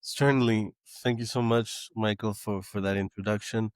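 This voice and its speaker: Low-voiced man, halting guest talk. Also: fairly even intonation, darkish tone, dry recording. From Canada. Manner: halting, many restarts and fillers. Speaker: guest, startup founder. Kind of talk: casual interview talk.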